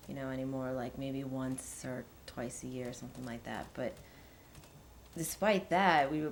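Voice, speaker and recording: Woman acting as a hesitant, chatty, low-voiced woman. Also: a little hiss or hum. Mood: reminiscing. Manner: hesitant, rambling, conversational. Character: friendly, chatty young woman giving a personal testimony.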